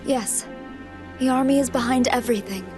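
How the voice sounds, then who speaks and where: Woman playing a gentle, high-voiced anime scientist. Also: moderate pace, lightly acted. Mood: regretful. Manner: polite, earnest, formal. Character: gentle, apologetic female scientist, earnest army deserter. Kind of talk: English anime game dub acting. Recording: loud music behind.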